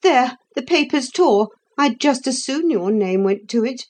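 A woman reading aloud, voicing a sharp, resentful children's nurse who scolds a boy. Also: high voice, expressive, measured pace, pitched above the performer's own voice. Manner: snappish, scolding.

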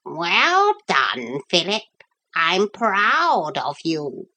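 A woman's voice: lowish voice, wildly swooping pitch, drawn-out words, sharp tone, full character voice. A woman voicing a talkative, fussy parrot in a storybook, with a chatty, self-important manner. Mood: proud. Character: talkative parrot, familiar companion, fussy and proud.

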